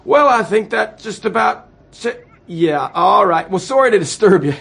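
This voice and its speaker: Flustered American president, high husky voice, hesitant, placating, radio satire. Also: male voice, animated, normal speed, pushed and bright, full character voice. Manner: hesitant, placating, awkwardly chummy. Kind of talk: radio satire acting, one-sided phone call.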